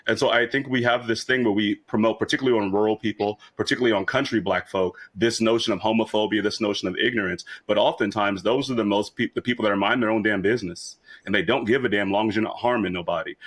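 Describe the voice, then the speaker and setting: A man's voice: fairly low pitch, a bit bright. A man from rural Kansas arguing his points in a casual panel discussion. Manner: argumentative, rapid and emphatic.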